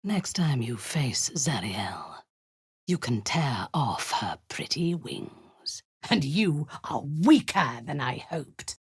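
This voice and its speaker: An actress voicing a cold, imperious woman warrior-commander and zealous cultist in a video game, speaking in a low, husky, half-whispered menace. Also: sing-song, slow pace, no room sound, clean studio sound, heavily acted. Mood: menacing. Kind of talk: video game character acting.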